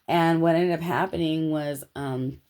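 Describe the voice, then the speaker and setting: An American woman from Los Angeles talking casually and telling personal anecdotes in an animated, slangy way. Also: low-pitched, unhurried. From Los Angeles, United States.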